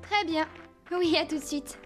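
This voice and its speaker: High-voiced teenage cartoon rider. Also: female voice, unhurried, faint music behind. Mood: excited, pleased. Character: kind, modest teenage girl rider at a ranch. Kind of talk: animated series voice acting, French dub.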